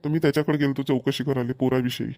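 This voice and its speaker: Man acting fast-talking earnest village father, cartoon. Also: mid-range voice, fairly even intonation, a little mellow, close to an everyday voice. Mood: earnest, reassuring. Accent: Vidarbha Marathi. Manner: emphatic, repetitive, colloquial. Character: earnest village father arranging his daughter's marriage. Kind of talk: homemade cartoon dialogue.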